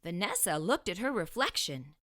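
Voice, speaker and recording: A woman's voice: mid-range voice, very animated, moderate pace, a bit bright. A woman hosting a children's read-aloud, narrating a storybook expressively with careful delivery.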